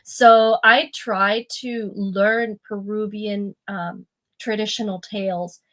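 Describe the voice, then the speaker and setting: A woman storyteller from Peru, raised in Canada, speaks as a guest in casual conversation. She talks in a stop-start, self-deprecating way, often restarting her sentences. Her pitch is ordinary and mid-range. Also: fairly even intonation, slow pace, fairly bright tone. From born in Peru, raised in Canada.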